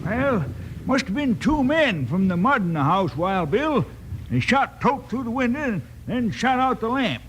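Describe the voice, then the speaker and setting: An actor playing an excitable, folksy old frontier uncle in a western radio drama. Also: male voice, very high-pitched, measured pace, heavily acted. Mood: excited, folksy. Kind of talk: 1950s western radio acting. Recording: noisy old radio recording.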